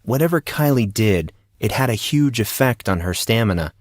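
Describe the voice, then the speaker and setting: A man's voice: mid-range voice, expressive, normal speed, no echo, clean studio sound. A man reading scripted fiction narration as the storyteller of an audio drama.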